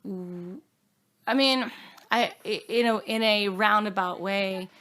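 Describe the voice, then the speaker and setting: A woman speaking as a singer-songwriter guest in a reflective interview, earnest and halting, often trailing off or quoting her own thoughts. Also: mid-range voice, steady tone, bright, forceful tone. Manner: earnest, halting, with self-quotes and trailing phrases.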